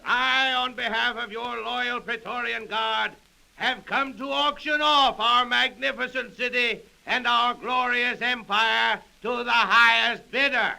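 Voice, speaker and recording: An actor playing a grandiose, goading Roman Praetorian officer running an auction in declamatory 1930s radio drama style. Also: male voice, extremely high pitch, fairly even intonation, unhurried, gravelly, sharp tone, exaggerated voice, poor audio. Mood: proclaiming. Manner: declamatory, theatrical oratory. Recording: old 1930s radio recording.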